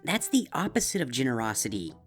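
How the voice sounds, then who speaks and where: A man's voice: mid-range voice, big pitch swings, fast talker, fairly bright tone, soft background music, pitched above the performer's own voice. A man voicing eager, insistent young female cartoon creatures in a fan fiction story, with an emphatic way of explaining. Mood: exasperated. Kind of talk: character voices in a fan fiction reading.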